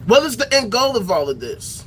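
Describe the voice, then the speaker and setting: A man's voice: sits high, sing-song, normal speed, a bit bright, slight background noise. A man giving an impassioned solo rant to his viewers, firing off repeated rhetorical questions with bursts of profanity. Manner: reads aloud from a reference text. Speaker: political commentary streamer.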